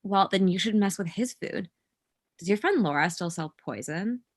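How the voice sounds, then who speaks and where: A woman's voice: mid-range voice, expressive, moderate pace, no echo, studio quality, lightly acted. A woman playing a manipulative girlfriend, blunt and dismissive, in a comedy table read. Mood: scheming.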